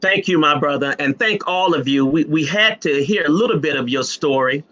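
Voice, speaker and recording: A jovial man moderates a live panel over a video call, teasing his guests as he fires off questions. His voice is bright and forceful and sits a little high for a man. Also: moderate pace, lo-fi sound. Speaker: host and moderator.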